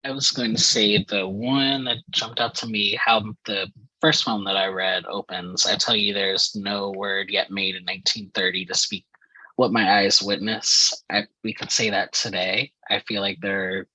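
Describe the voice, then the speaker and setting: Man, very sharp forceful tone, raspy, literary panel discussion. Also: lowish voice, flat delivery, measured pace, no room sound, rough recording. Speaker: poetry reader on panel.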